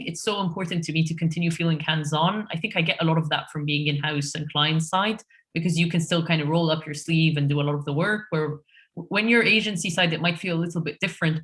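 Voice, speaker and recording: A woman from Egypt answering interview questions on a podcast in a relaxed way, with frequent fillers and restarts. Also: sits low. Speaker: podcast guest, head of SEO.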